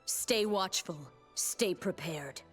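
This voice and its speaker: A woman's voice: mid-range voice, slow pace, soft background music, close to an everyday voice. A woman playing a determined, stern young warrior leader in a video game, speaking in solemn, commanding, clipped declarations. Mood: watchful, stern. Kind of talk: video game character voice lines.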